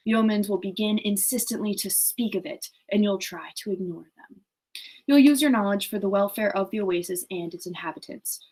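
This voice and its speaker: A wise desert alchemist from a storybook, read aloud by a woman. The mentor speaks in measured, prophetic sentences at an even, knowing remove. The pitch is that of an ordinary female reading voice. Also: close to an everyday voice, rough recording.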